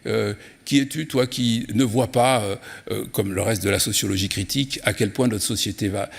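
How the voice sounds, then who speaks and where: Gravelly Frenchman giving a speech in a hall. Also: on the low side, fairly even intonation, normal speed. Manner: hesitant searching delivery with repeated words and emphatic enumerations. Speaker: guest speaker, geographer and consultant. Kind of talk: conference speech, expository and argumentative. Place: large indoor conference room. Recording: echoey hall with long reverb.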